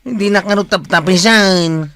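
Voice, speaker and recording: An actor with an Ilocano accent playing a nervous, hesitant nephew and sidekick in a comic radio drama. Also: male voice, high voice, a little slow, pushed and bright, clean tone, dry recording, studio quality. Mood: flustered. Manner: flustered, hesitant interjections.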